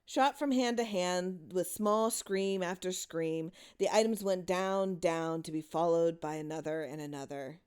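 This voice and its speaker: A woman's voice: lowish voice, fairly even intonation, a little slow, hardly any pauses, darkish tone, smooth. A woman narrating a horror short story in a dramatic reading style.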